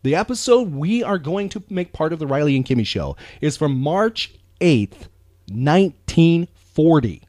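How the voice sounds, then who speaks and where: Animated American man, emphatic podcast co-host. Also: fairly high pitch, unhurried, no room sound, clean studio sound. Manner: emphatic, earnest, rambling. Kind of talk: casual chat.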